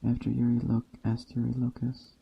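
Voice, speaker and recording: A man reading a story aloud in a soft ASMR style, slowly and deliberately, in a hushed bedtime storytelling manner. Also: medium pitch, completely flat delivery, creaky, slight background noise. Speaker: storyteller, narrator. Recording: dull muffled sound, faint background ambience. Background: soft nature ambience.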